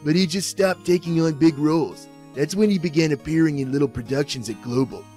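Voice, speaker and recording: A man's voice: a little high, brisk, a little mellow, natural voice. A man voicing a meek, earnest, hesitant actor character in an amateur game fandub. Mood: informative. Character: meek, earnest male action-show actor, gentle and unsure. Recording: music faintly behind the voice.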